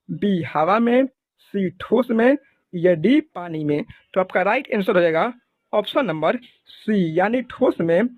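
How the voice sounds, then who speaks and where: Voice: mid-range voice, no echo, dull audio. An exam prep teacher, methodically reading out quiz questions, options and answers in an instructional way. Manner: methodical, instructional, repetitive set phrasing.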